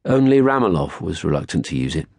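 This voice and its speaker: A man narrates a novel in a low, husky voice, measured and wry. His delivery is articulate and literary, recorded very dry and close. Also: very animated, darkish tone, dry recording. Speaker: audiobook narrator. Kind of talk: scripted first-person literary narration.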